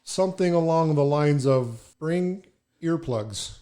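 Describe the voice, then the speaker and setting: A man hosting a casual podcast chat, loud and booming, who laughs often while asking the questions. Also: animated, slow. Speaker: podcast host.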